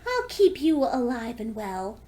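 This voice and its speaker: A woman's voice: a little high, huge pitch swings, a little slow, mellow, voice pushed up. A woman voicing a seductive, lonely vampire pony with a courteous, teasing manner in a fan fiction audio story. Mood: coolly predatory.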